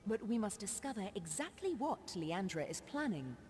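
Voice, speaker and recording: Solemn sorceress in long reverb. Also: female voice, medium pitch, sing-song, a little mellow, breathy edge. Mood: grave, urgent. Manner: grave, formal, measured storytelling delivery. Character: solemn, foreboding sorceress grieving over her wayward sister. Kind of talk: fantasy video game voice acting.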